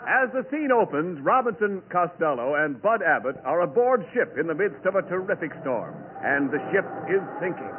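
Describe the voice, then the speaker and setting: A man's voice: high voice, big pitch swings, normal speed, hardly any pauses, noisy background. A man announcing and reading ads in 1940s radio style, with polished, emphatic salesmanship. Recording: tinny band-limited 1940s radio recording.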